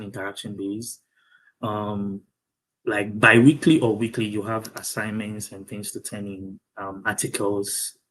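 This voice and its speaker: A young man with a Ghanaian accent, a doctoral student being interviewed over a video call, speaking thoughtfully with many pauses and ums. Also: on the low side, slight background noise, low-quality recording.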